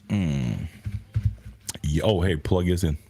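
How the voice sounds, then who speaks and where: A man with a raspy voice, clearly under the weather, trading casual and profane banter on a sports podcast. His delivery is loud and joking, and he sits in a small studio. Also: deep voice. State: sick with a raspy voice. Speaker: podcast co-host.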